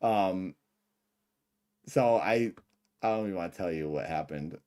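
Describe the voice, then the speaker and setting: A man's voice: low-pitched, measured pace, warm tone, clean tone. A middle-aged man with a lisp, rambling through a livestream reaction with laughing asides. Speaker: livestream host.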